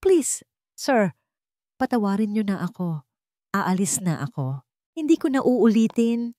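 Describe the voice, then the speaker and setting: Lady doing a panicky begging fired exec guy, tearful, pitched way up, storybook drama. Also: very animated, unhurried, mellow, dry recording, clean studio sound. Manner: begging, sobbing plea. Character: desperate, pleading fired male HR director, tearful. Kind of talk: dramatic audio storybook acting.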